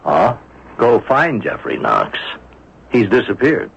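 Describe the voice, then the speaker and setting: Grumbling inspector for radio mystery: deep, gravelly, skeptical, hesitant, on a dull lo-fi broadcast. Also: male voice, wildly swooping pitch, slow pace, full character voice. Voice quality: gravelly, husky. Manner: hesitant, muttering, exclaiming. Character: grumbling, skeptical, easygoing middle-aged police inspector. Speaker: actor in a radio mystery drama. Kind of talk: radio drama acting.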